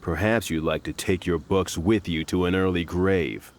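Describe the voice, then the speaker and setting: An actor playing a bullying, mocking thug who jeers and laughs at others. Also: male voice, sits low, steady tone, moderate pace, slight background noise. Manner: mocking, cackling. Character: sneering adult male thug, bullying.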